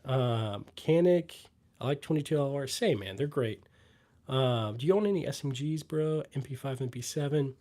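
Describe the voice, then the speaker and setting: A young man from the US Midwest hosting a casual livestream, reading chat comments aloud and answering them with lots of fillers and pauses. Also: mid-range voice. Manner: relaxed, trailing fillers, pauses to read comments.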